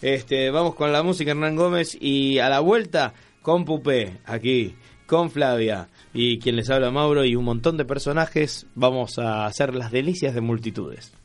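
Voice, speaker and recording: A man's voice: mid-range voice, normal speed. Argentine man hosting over music. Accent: Rioplatense Argentine. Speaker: radio show host. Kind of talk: casual magazine-show chat. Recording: music playing under the voice. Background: background music.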